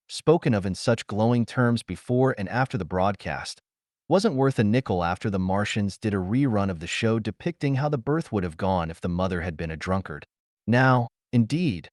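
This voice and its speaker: A man reading pulp science fiction as an audiobook narrator, in an expressive, theatrical way. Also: fairly low pitch, moderate pace, dry recording, clean studio sound.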